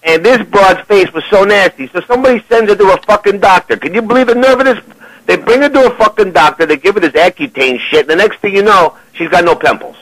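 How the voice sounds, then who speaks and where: A man's voice: sits high, expressive, a bit bright, dry recording. A man voicing a brash, swearing middle-aged hustler with a New York accent in a prank phone call. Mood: indignant. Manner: pushy, swearing, drawn-out delivery.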